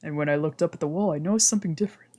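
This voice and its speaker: A woman streamer playing a visual novel, mixing casual, slangy, expressive commentary with reading the game text aloud. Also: deep voice, very fast. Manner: expressive, reactive, exclamatory, slangy. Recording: music playing behind the voice.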